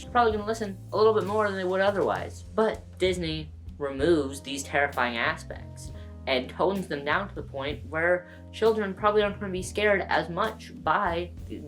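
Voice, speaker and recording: Low-pitched woman narrating a video essay. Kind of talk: scripted video essay narration with casual asides.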